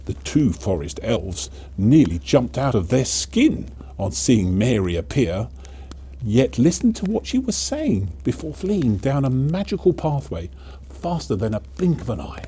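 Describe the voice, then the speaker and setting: Male storyteller, very soft dark tone, lively swings of pitch, theatrical children's tale delivery. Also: measured pace, a little hiss or hum. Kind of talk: dramatic children's storybook narration.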